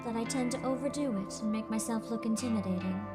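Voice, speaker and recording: A woman voicing a vain, self-assured young woman in a low, soft, measured voice, her throat congested from screaming. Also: loud music behind the voice, lightly acted. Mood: smug.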